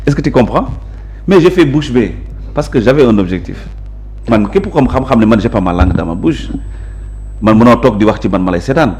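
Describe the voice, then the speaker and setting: A man's voice: mid-range voice, a little mellow. Assertive man with a Senegalese accent. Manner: assertive, emphatic, explanatory. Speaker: interview guest, local politician. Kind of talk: conversational interview, mixing Wolof and French.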